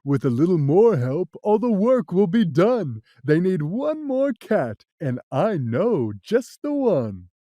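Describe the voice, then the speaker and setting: Lady putting on a deep showy cat, super sure of himself, slow and swoopy, dry close sound. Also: sing-song, mellow, dry recording, lo-fi sound, heavily acted. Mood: boastful. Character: tall sly grinning cat, boastful magician. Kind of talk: storybook reading.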